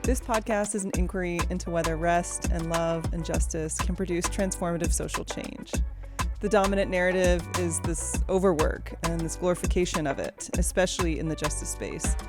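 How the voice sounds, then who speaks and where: A woman's voice: lowish voice, moderate pace. Woman co-host over intro music. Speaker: podcast co-host. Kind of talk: scripted podcast intro and casual chat.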